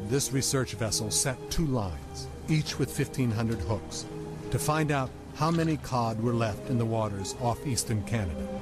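Male documentary narrator over loud music. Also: mid-range voice. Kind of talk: scripted narration.

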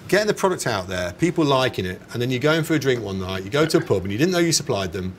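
English man, forties, hesitant conversational interviewee, mid-pitched, recorded in a bar with background noise. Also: big pitch swings, fast. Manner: hesitant, chatty, self-correcting. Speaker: snack company founder being interviewed. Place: indoors in a bar. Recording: clear background ambience noise.

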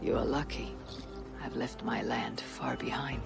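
Low-voiced Viking warrior woman, loud score. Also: sing-song, measured pace, creaky, whispered, full character voice. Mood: quiet, reflective. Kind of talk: dramatic game cutscene dialogue. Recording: loud background music under dialogue.

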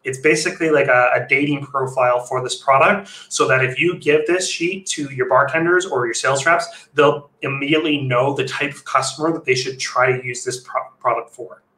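Man, thirties, informal webinar lecture, crisp bright voice, roomy webcam sound. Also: mid-range voice, fairly even intonation, brisk, hardly any pauses. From Canada. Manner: informal, with fillers and restarts. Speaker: brewery consultant presenting a webinar. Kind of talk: slide presentation lecture. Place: home room, indoors. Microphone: webcam video call. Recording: echoey room on a webcam mic.

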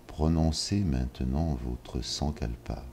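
A man leading a yoga nidra session, giving calm, measured instructions with long pauses. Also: rumbling low. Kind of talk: slow guided relaxation. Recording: soft music behind. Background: soft ambient music.

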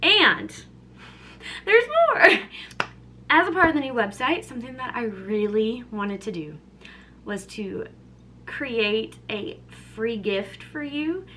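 A woman's voice: fairly high pitch, big pitch swings, slow pace, long pauses, sharp tone, a little hiss or hum. A young woman talking casually and upbeat to camera in her home kitchen, full of fillers, filmed close up. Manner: chatty, upbeat, filler-heavy with lots of "like" and "um". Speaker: lifestyle vlogger. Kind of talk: casual chatty vlog. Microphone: camera close up at arm's length.

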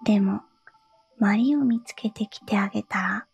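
A woman voicing a polite, gentle frog from a fairy tale in a soft whisper. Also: medium pitch, normal speed, gravelly, slightly rough, no echo, faint music behind. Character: slimy bloated frog in a spring, polite and gentle.

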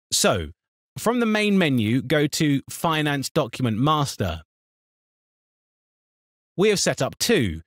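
A man narrating a scripted software tutorial in an instructional, step-by-step manner. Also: medium pitch, no echo, studio quality.

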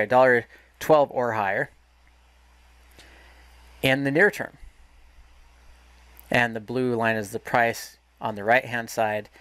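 A man's voice: mid-range voice, fairly bright tone, dry recording. A man walking through a market analysis lesson over a screen recording, explaining step by step with restarts and self-corrections. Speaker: market educator.

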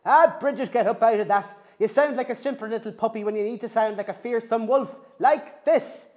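A man's voice: high voice, animated, measured pace. A man putting on a bossy old banshee granny. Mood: scolding. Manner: sharp, scolding, bossy. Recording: dull lo-fi recording.

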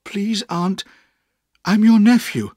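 A man's voice: sits high, takes it slowly, a little mellow, dry recording, studio quality, voice pushed up. A man voicing a frightened, earnest runaway young boy who pleads politely and tearfully. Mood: pleading.

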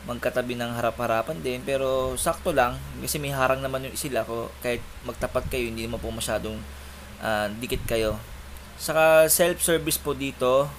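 A young man giving a polite, chatty walkthrough of a coffee shop, filmed on a handheld phone. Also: medium pitch. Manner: chatty, polite tour-guide narration. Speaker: vlogger. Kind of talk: casual walkthrough vlog. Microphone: handheld phone camera. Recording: noisy cafe with air conditioning.

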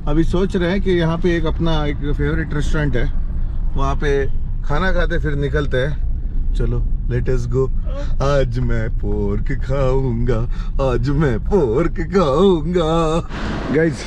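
A man's voice: on the high side, slow pace, background noise. Chatty man vlogging into a camera. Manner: chatty, mixes in English and Kannada, laughs easily. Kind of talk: casual travel vlog. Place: car at night, then a cafe.

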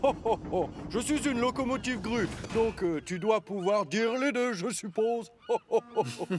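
A man voicing a gentle, hesitant male crane locomotive in a children's cartoon, modest and good-natured. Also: high-pitched, moderate pace, over music, close to an everyday voice.

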